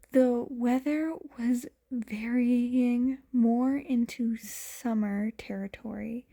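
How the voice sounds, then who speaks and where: Woman narrator for a fan audiobook: very slow, soft, measured delivery. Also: a little high, creaky. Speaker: podfic reader. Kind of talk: fanfiction audiobook reading.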